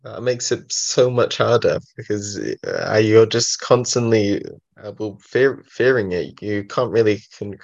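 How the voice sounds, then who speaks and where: A young man speaks very slowly with a heavy stutter, repeating words and filling his pauses with uh. His voice sits fairly low and the audio is dull and muffled. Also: gravelly, a little mellow. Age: 20s. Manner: heavy stutter with many repetitions and fillers. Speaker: college student guest. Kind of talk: interview answers.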